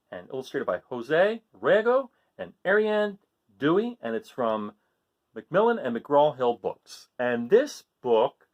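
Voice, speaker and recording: A man reading a picture book aloud to children in an animated way, asking his listeners questions. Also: a little high, lo-fi sound. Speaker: read-aloud storyteller.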